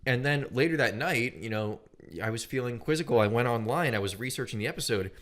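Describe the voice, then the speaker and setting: A man's voice: mid-range voice, fairly quick, a bit bright. A young American man co-hosting a podcast in a casual, chatty style. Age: young adult. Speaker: podcast co-host. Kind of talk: casual chat.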